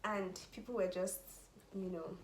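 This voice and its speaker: A young Nigerian-accented woman talking in a lively, laughing way, her voice bouncing around an echoey room. Faint ambience hums behind her. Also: mid-range voice, measured pace, a little hiss or hum. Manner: animated, laughing often, drops into Nigerian Pidgin. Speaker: vlogger, international student. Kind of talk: casual chat.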